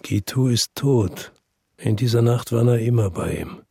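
A man's voice: mid-range voice, vocal fry, darkish tone, slightly rough, no echo, studio quality. An actor playing a brooding investigator who narrates his story in a radio drama. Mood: earnest. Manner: slow, somber narration. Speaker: first-person narrator.

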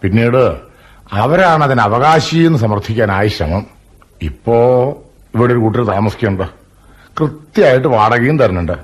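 An actor playing an older, reflective Malayali landlord in a radio drama, with a measured storytelling manner and a dignified air. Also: male voice, medium pitch, lively intonation, slightly rough. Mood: firm, principled.

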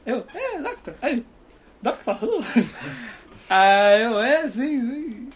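Young carioca dude rambling about dorm life, chill and laughy, bit of faint background noise. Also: male voice, extremely high pitch, big pitch swings, slow pace. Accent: Carioca Brazilian Portuguese. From Brazil. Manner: rambling, laid-back, laughs often. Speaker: guest. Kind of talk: casual chat. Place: dorm room.

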